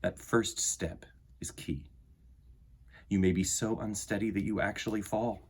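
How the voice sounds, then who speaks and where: A man hosting a children's storytime, reading aloud and talking to young listeners in an earnest, emphatic, deliberate way. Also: fairly low pitch, fairly even intonation, slight background noise. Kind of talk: expressive storybook read-aloud and talk to children.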